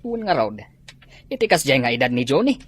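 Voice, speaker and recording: A man's voice: medium pitch, big pitch swings, a little fast, a little hiss or hum, close to an everyday voice. A man acting a resigned, sympathetic rural father in a comedy drama. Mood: resigned, disapproving.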